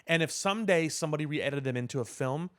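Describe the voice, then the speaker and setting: A man co-hosting a casual podcast discussion, giving opinions in a halting way, repeating words as he builds his point. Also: a little high, fairly bright tone. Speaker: podcast co-host.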